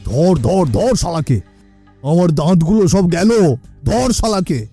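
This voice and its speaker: A man plays a gruff village headman in a cartoon. His voice is very dark and mellow, fairly high for a man, with lively swings in pitch. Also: unhurried, soft background music, heavily acted. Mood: furious. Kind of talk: cartoon voice acting.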